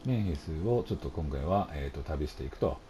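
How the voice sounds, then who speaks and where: Man, middle-aged, very rapid delivery, polite chatty gaming commentary, filler-heavy, clear background noise. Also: extremely low pitch, expressive. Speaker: video game streamer. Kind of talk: casual let's play commentary.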